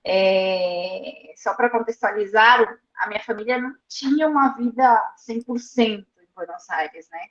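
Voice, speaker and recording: A woman who grew up in Argentina, telling stories as an interview guest in a lively way, laughing often and repeating words. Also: medium pitch, measured pace, few pauses, roomy sound, muffled recording. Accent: slight Argentine Spanish. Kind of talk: casual storytelling interview.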